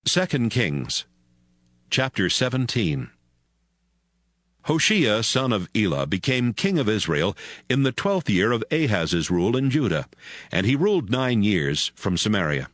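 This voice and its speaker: A man's voice: on the low side, sing-song, measured pace, fairly bright tone, no room sound. A man narrating a dramatized audio Bible as a scripted storyteller. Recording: music under the voice.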